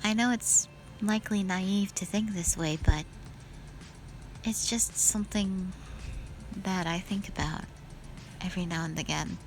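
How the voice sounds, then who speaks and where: A woman playing a wistful, scheming yet playful witch sister in an audio roleplay, speaking softly and reflectively to the listener. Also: medium pitch, measured pace, pauses often, faint music behind, natural voice, poor audio. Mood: wistful, solemn.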